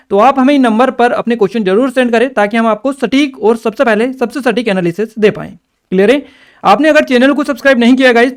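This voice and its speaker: A man explaining exam questions step by step as a teacher, addressing students directly and checking they follow. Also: very high-pitched. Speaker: exam-prep teacher and channel presenter.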